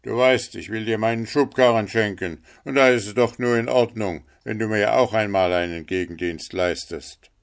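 A man's voice: on the low side, moderate pace, creaky, few pauses. A man voicing a selfish, scheming miller in a read-aloud storybook, pleading in a wheedling, self-serving way.

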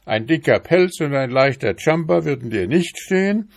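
A man's voice: sits low, lively intonation, slow, darkish tone, exaggerated voice. Storybook beetle husband, weary and curt, read by a man. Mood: exasperated. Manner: tired, curt answers. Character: weary, put-upon male beetle husband.

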